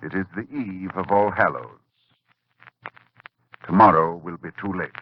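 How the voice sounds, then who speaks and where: Serious urgent professor, deepish voice, formal horror narration, dull 1940s radio sound. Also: male voice, husky. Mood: grave, urgent. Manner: formal, solemn, dramatic, halting with dread. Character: grave, urgent learned professor warning of horror. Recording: muffled vintage 1940s radio recording.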